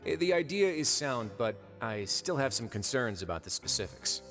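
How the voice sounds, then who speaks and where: A man's voice: medium pitch, sing-song, normal speed, music in the background. A man voicing a composed, wry, guarded duke in a video game, speaking in a dry, understated way.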